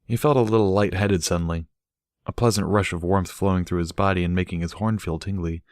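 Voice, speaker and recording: A man's voice: sits low, steady tone, fairly quick, a little mellow, dry recording, clean studio sound. A man reading scripted fiction as a storybook narrator.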